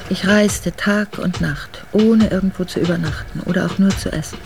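A woman's voice: fairly low pitch, normal speed, creaky, heavy background noise. An actress playing a noblewoman who soberly recalls a hard winter journey in a radio drama. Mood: sober, reflective. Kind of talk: radio drama memoir narration.

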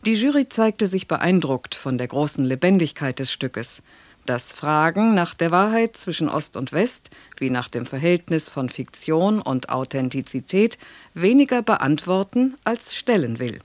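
A radio announcer of unclear sex giving a scripted, measured introduction to a radio play. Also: mid-range voice, very animated, no room sound.

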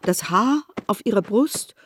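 A woman narrating a literary radio drama in a measured storytelling manner. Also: medium pitch, animated, husky, dry recording, studio quality.

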